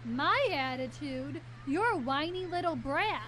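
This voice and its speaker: High whiny young diva played by a woman. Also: lively intonation, unhurried, sharp tone, slight background noise, full character voice. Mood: snotty, offended. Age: young adult. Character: vain, whiny, dim young beach-show diva. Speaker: student radio actor. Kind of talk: live student radio comedy acting. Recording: live radio studio, music behind.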